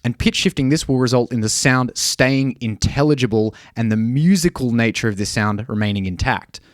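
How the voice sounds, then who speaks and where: A man giving a measured, clearly explained tutorial narration as a music production tutor. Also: mid-range voice, no echo, clean studio sound.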